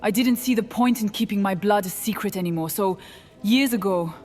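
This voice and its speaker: A woman playing a gentle, sorrowful young witch who has lived as a boy, speaking in an earnest, measured, halting way. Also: mid-range voice, soft background music, natural voice. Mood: sorrowful confession.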